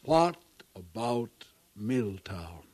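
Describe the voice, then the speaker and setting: A man's voice: fairly low pitch, very animated, drawn-out words, a little mellow, breathy edge, no room sound, exaggerated voice. A man playing a thoughtful, formal questioner from the far future in a 1950s radio drama. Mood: thoughtful, puzzled. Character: probing, thoughtful far-future male interviewer of scholars.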